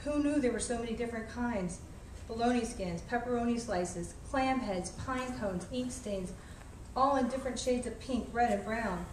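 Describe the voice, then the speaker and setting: A woman's voice: a little high, normal speed. A woman reading a humorous personal essay aloud with a wry, self-deprecating manner. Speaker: author reading aloud, flight attendant. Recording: echoey room, distant mic, faint noise.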